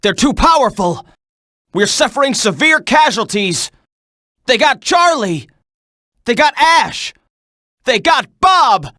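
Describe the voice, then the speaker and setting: A video game soldier in the thick of battle. The delivery is clipped and urgent, with a sharp, forceful edge and animated swings in pitch. It sounds close and dry. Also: male voice, takes it slowly, pauses often, dry recording, full character voice. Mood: frantic, shouting. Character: tense military soldier barking combat reports and orders.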